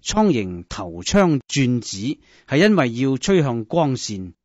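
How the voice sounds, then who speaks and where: A man with a Hong Kong Cantonese accent narrating scripted Buddhist stories in a measured, instructive way. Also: mid-range voice, big pitch swings, a little mellow, dry recording, dull audio.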